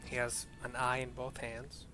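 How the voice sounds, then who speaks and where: A man narrating a video game as it plays, describing scenes in a plain-spoken, halting way. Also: medium pitch, monotone, rough recording. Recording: under very loud game music. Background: loud game music.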